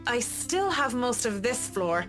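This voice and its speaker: An actress voicing a curious, polite young woman inspector in a visual novel, gently probing with her questions. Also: on the high side, big pitch swings, a little slow, over music. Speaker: game character, inspector. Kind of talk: voiced visual novel dialogue.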